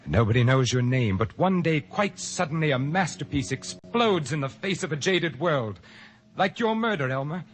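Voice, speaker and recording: An actor playing a cultured, scheming male painter in a 1940s radio drama, with a smooth, purring delivery. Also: on the high side, expressive, normal speed, close to an everyday voice. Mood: gloating. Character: suave sinister male painter, cold and scheming. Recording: old radio broadcast.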